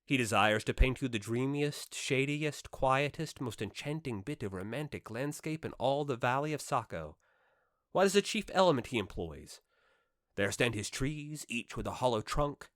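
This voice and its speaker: A man narrating a literary audiobook with expressive, rhetorical delivery and measured phrasing. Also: mid-range voice, no echo, studio quality. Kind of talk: dramatic literary audiobook reading.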